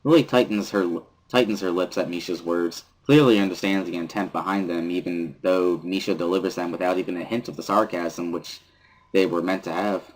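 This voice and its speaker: Husky-voiced man narrating a story. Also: low voice, steady tone, fairly quick, poor audio. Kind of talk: dramatic reading of a visual novel, first-person narration.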